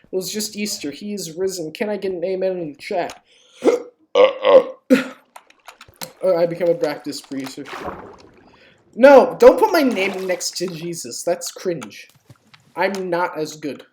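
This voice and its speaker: A woman's voice: fairly low pitch, very animated, a little slow, long pauses, a little mellow. A woman giving casual live game stream commentary, informal and sweary, jokingly pleading with viewers. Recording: clearly noisy home stream, keyboard clicks.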